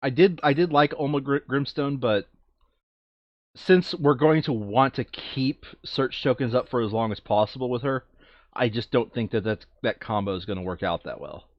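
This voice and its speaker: A man's voice: medium pitch, normal speed, dry recording, dull audio. A man chatting casually as a player planning a board game session, thinking aloud and repeating his phrases.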